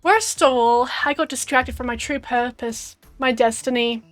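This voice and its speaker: Australian woman reading a vengeful ghost girl; soft, brooding, hoarse, higher-pitched. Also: moderate pace, soft background music, natural voice. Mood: bitter. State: hoarse, losing her voice.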